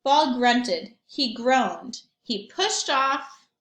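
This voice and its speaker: A woman reading a storybook aloud to young children like a teacher, expressively, pausing for simple questions and comments. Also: a little high, animated, fairly bright tone. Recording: roomy echo.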